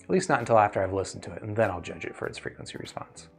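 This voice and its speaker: A man's voice: lowish voice, animated, very fast, gravelly, faint music behind. A man hosting a live stream in a casual, conversational way, thinking aloud as he answers viewers. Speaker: host of a livestream reviewing earphones.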